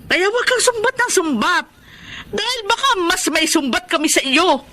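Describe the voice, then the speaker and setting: Protective, reproachful aunt, noisy recording. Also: female voice, extremely high pitch, animated, normal speed. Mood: indignant. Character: protective adult aunt, hardworking, reproachful yet caring. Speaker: radio drama actress. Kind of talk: emotive Tagalog radio drama acting.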